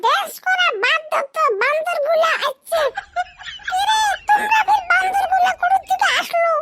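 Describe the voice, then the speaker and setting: A man voicing a bossy, scolding young village girlfriend in a comedy cartoon, with a northern Bengali village dialect and a shrill, pitch-shifted voice. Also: fairly even intonation, normal speed, pushed and bright, soft background music. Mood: indignant. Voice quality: shrill, squeaky.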